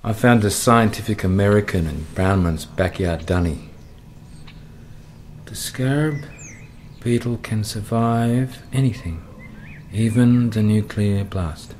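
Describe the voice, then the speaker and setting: An Australian man narrating a radio play in a brooding, measured way. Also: fairly low pitch, darkish tone, slight background noise.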